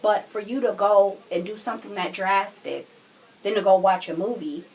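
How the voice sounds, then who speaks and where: A woman co-hosting a casual true crime discussion, giving her opinions in a reflective, measured way. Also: medium pitch, darkish tone, poor audio. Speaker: podcast co-host.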